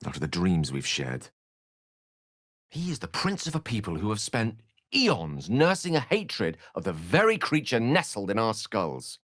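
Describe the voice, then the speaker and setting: An actor playing a learned, wry wizard adventurer in a video game, with a British accent and an eloquent, theatrical way of talking. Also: male voice, on the low side, huge pitch swings, measured pace, a bit bright, no echo, clean studio sound, heavily acted. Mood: earnest, grave. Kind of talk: video game character voice acting.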